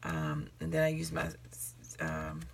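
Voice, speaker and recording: A woman hosting a crafting video, chatting casually with a raspy, tired late-night voice and rambling, self-correcting asides. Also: deep voice, sing-song, unhurried, faint music behind. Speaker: crafting channel host. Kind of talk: casual show-and-tell crafting chat.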